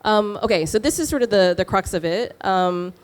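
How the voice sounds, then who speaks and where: A woman's voice: medium pitch, moderate pace. A woman from Taiwan, a venture capital partner, giving a fluent conference presentation with frequent "um" fillers. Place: conference stage.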